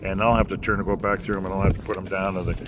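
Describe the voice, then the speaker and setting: Low-voiced man rambling through a lesson. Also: flat delivery, racing. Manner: rambling, folksy, with many fillers and restarts. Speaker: singing teacher. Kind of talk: casual instructional talk. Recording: noisy background.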